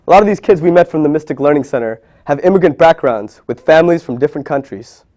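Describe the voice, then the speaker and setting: A man's voice: a little high, animated, quick pace, warm tone. A man who grew up in Bangladesh narrating a documentary in an earnest, reflective, scripted way.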